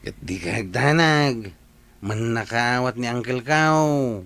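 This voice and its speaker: A man's voice: medium pitch, takes it slowly, a bit bright. A man playing a frank younger villager who admonishes his elders in a radio comedy drama, with an Ilocano accent. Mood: admonishing, reassuring.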